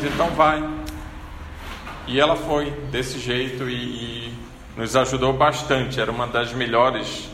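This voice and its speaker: Preacher, male, bright forceful voice, chatty storytelling sermon, long church reverb. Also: medium pitch, a little hiss or hum. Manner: conversational storytelling, frequent rhetorical "né?". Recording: echoey church hall with faint ambience.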